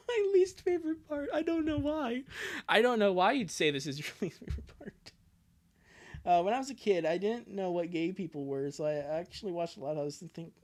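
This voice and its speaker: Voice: medium pitch, animated, moderate pace, a little mellow. A streamer chatting casually between dub readings, rambling and exclaiming with giggly joking asides.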